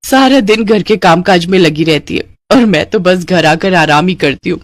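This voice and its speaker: A woman voicing a haughty, boastful young daughter-in-law in a cartoon story, sharp and condescending. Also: lowish voice, normal speed, no echo, natural voice. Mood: smug.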